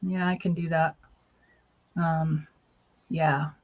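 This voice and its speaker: A woman's voice: low voice, little pitch movement, slow, lo-fi sound, a crowd in the background. A woman co-hosting a casual chat, talking loosely and trailing off. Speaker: co-host.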